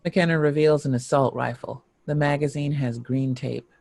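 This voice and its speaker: Creaky, mellow male screenplay narrator. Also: a little high, fairly even intonation, moderate pace, dry recording. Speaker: narrator reading stage directions.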